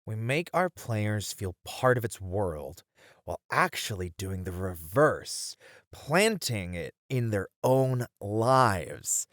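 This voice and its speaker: Guy acting as game dev coworker thinking out loud, kinda hesitant but into it, lively up and down pitch, dry asmr mic. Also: takes it slowly, dry recording, heavily acted. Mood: thoughtful. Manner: casual, hesitant, thinking aloud. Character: hesitant but intrigued male game developer colleague. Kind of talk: conversational ASMR roleplay acting. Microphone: close studio mic.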